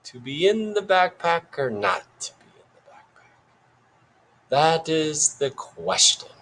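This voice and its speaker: A man voicing an eccentric schoolboy who plays a pompous detective in a theatrical, drawn-out mock British accent. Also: fairly high pitch, lively intonation, pushed and bright, pitched above the performer's own voice, heavily acted, poor audio.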